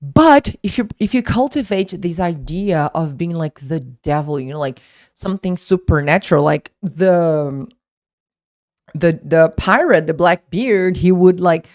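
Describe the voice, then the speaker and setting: A woman's voice: lowish voice, animated, measured pace, a little mellow. A young woman whose first language is Portuguese, teaching English in a casual online lesson, talking in a chatty way with many fillers and restarts.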